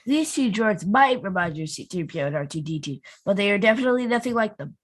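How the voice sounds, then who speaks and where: A woman's voice: mid-range voice, animated, fast talker. A woman co-hosting a podcast, reading a story recap evenly and adding playful asides. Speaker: podcast co-host. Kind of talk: casual podcast chat and read recap.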